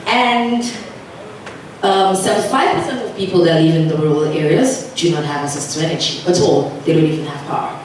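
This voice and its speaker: Nigerian woman, conference speaker, lowish voice, deliberate pace; distant, reverberant hall mic. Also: slight background noise. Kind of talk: conference presentation.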